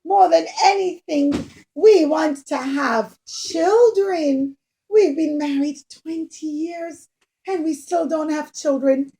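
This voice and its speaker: A gentle, devout husband puppet in a kids' show, played by a woman in a high voice. The delivery is slow, simple and earnest. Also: gravelly, full character voice, poor audio. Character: gentle, devout patriarch puppet longing for children.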